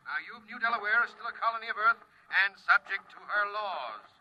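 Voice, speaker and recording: An actor playing a domineering, militaristic inspector from Earth over a radio link, curt and officious in a 1950s radio drama style. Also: male voice, high-pitched, brisk. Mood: officious, menacing. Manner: imperious, curt, officious, commanding. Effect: radio transmission. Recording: dull old radio recording, clear static noise.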